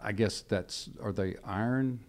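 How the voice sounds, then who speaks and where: Low-voiced host with chatty questions. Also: male voice, measured pace. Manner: chatty, hesitant, with restarts and backchannels. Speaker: radio host, interviewer. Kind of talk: casual interview questions.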